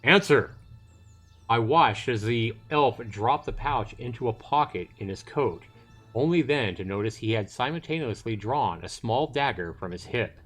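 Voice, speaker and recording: A man's voice: mid-range voice, expressive, moderate pace, soft background music. A middle-aged man narrating a visual novel on a casual livestream, mixing read text with commentary. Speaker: game streamer. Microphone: voice chat microphone.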